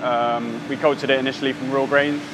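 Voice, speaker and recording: A young brewer explaining his methods at a tasting, measured and full of ums, standing on a brewery floor. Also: mid-range voice. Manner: measured, with frequent fillers. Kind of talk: technical explanation in a casual tasting chat. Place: large brewery floor among steel tanks. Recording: steady machinery hum behind.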